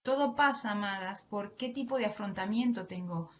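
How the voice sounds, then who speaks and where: A woman's voice: medium pitch, moderate pace. A woman with an Argentine Spanish accent giving a devotional teaching to other women, addressing her listeners earnestly and affectionately. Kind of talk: devotional sermon to an online audience. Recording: dull lo-fi online audio, faint noise.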